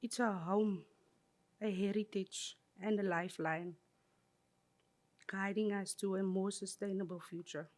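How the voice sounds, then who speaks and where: A woman giving a prepared conference presentation in a measured, reflective way. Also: mid-range voice, fairly even intonation, a little mellow.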